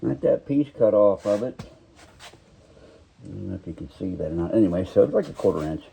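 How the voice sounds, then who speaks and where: A man talking quietly and casually to camera while he works on a car, mumbling as he goes. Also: low-pitched, lively intonation, a little fast, creaky, slight background noise, low-quality recording. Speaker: car restoration hobbyist. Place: home garage workshop.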